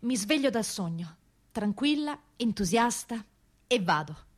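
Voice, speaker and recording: A woman narrating a first-person radio theatre monologue in a reflective, theatrical way with long pauses. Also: a little high, lively intonation. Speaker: actor-author narrating a monologue.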